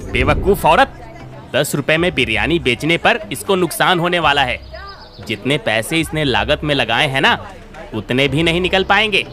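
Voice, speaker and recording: An actor playing a sly, scornful cheating food vendor with a sneering manner in a story. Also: male voice, fairly high pitch, expressive, moderate pace, pushed and bright, faint music behind.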